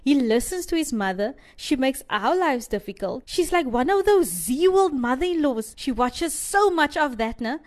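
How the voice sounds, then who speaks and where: A woman's voice: sits high, lively intonation, moderate pace, hardly any pauses, a little mellow. A woman playing a romantic, grumbling wife in a radio drama, chatty, with a Cape Town South African accent. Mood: sulky, complaining. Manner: chatty, complaining, tags sentences with eh. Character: feisty, romantic young wife grumbling about her in-laws.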